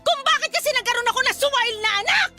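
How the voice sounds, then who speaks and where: A woman's voice: very high voice, lively intonation, fast talker, music in the background. A woman playing an exasperated, overworked mother with a Filipino accent, nagging sharply in a radio drama. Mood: bitter, irritated. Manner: nagging, sharp-tongued, emphatic rhetorical questions.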